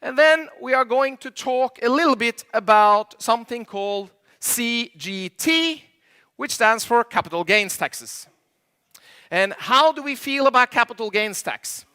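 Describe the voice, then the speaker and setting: A man's voice: very high voice, expressive, measured pace, pushed and bright, smooth. Norwegian man joking with a conference crowd. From Norway. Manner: jokey, quizzes the audience, slightly imperfect English. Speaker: conference speaker. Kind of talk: stage presentation with audience banter. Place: conference stage before a live audience.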